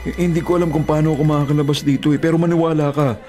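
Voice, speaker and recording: Man acting a fast, pleading young delivery rider. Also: on the high side, flat delivery, dark tone, natural voice. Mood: defensive, earnest. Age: young adult. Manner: pleading, insistent. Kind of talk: Filipino radio drama acting. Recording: music bed under the voice.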